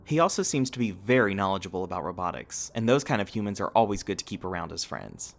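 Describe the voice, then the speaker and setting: A man's voice: deep voice, big pitch swings, fast talker, gravelly, fairly bright tone, full character voice. A man playing a polite, curious companion robot in an ASMR roleplay, speaking formally and precisely with a literal-minded courtesy. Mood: curious, thoughtful. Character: polite, curious, eager-to-please male humanoid companion robot. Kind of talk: ASMR roleplay audio drama acting.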